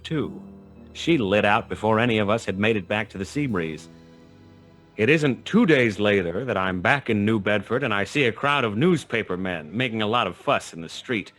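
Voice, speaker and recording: An actor playing a wry, hard-boiled private detective, narrating a noir radio drama in a dry, sardonic way. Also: male voice, lowish voice, a bit bright.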